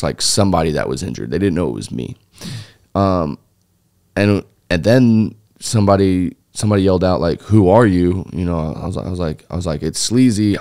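Guest: deep-voiced man in his thirties, rambling casual storytelling, dry close studio mic. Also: darkish tone, smooth, no echo. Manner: loose, rambling telling with many fillers and restarts. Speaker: interview guest, former foreign volunteer fighter. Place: small dark podcast studio. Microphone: studio mic on boom arm.

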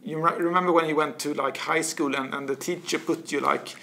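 Swedish man, 40s, interview guest; bright edge, some fry; stumbles and repeats words mid-thought. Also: fairly high pitch, brisk, a little hiss or hum. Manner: animated, gestures a lot, repeats words while searching. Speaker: guest, author. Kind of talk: casual interview talk. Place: small office studio with bookshelves, indoors. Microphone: desk studio mic.

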